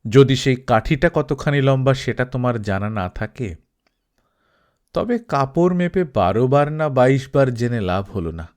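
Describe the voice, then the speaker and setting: A man voicing a calm old Sufi saint. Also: mid-range voice, measured pace. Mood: gentle. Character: elderly male Sufi saint, calm and wise.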